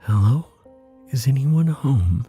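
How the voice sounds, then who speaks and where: Man voicing a tender star visitor, lifted soft pitch. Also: animated, slow pace, husky, soft background music, exaggerated voice. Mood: hopeful. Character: gentle glowing male star visitor, curious and loving. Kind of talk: bedtime storybook character voice.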